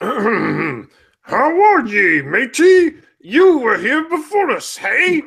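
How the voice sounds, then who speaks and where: Shrill, boisterous matey caroler. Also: male voice, very animated, drawn-out words, vocal fry, fairly bright tone, breathy edge, exaggerated voice. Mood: jolly, loud. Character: boisterous, matey male Christmas carol singer, rowdy. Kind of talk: live comic radio parody acting.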